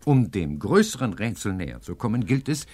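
An actor playing a brilliant, pompous professor detective in a radio crime play, with ornate, pedantic phrasing and an impatient, commanding manner. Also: male voice, fairly low pitch, very animated, brisk, darkish tone. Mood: commanding, excited. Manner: pedantic, ornate, theatrical delivery.

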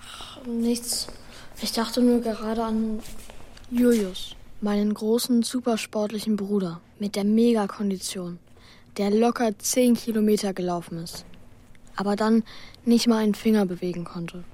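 A woman's voice: medium pitch, fairly even intonation, a little slow, mellow, lightly acted. A woman playing a sad, thoughtful child in a radio play, speaking hesitantly and matter-of-factly. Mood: wistful, subdued. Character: Bela, sad thoughtful child with a comatose brother. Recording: long hall reverb.

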